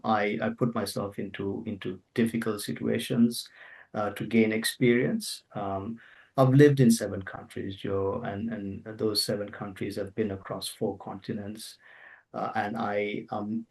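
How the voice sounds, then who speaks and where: A man's voice: lowish voice, fairly even intonation, a little slow, gravelly, a little mellow, far from the mic, poor audio. A middle-aged man who grew up in Zambia, answering interview questions in a hesitant way with many fillers and restarts. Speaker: interview guest, author.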